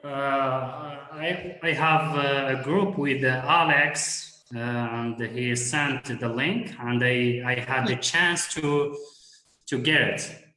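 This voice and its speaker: Hesitant man, slow and bright. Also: mid-range voice, roomy sound, rough recording. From Morocco. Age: 30s. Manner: hesitant, many fillers and restarts. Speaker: guest. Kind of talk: casual video call chat.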